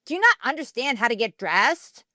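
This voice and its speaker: A woman's voice: fairly high pitch, sing-song, normal speed, bright, forceful tone, no room sound, clean studio sound, voice pushed up. A woman doing a sneering teenage girl. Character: snide teenage girl cheerleader.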